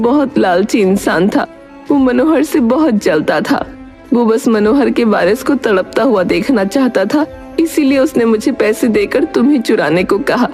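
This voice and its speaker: A woman's voice: fairly high pitch, darkish tone, lightly acted. Lady doing an old nurse full of guilt, confessing earnestly in a story drama, music under it.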